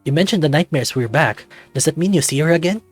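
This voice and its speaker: A man's voice: on the high side, expressive, quick pace, soft background music. A man voicing a playful, affectionate and caring male wolf in a visual novel, with teasing, earnest delivery. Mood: worried, caring. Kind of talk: visual novel character acting.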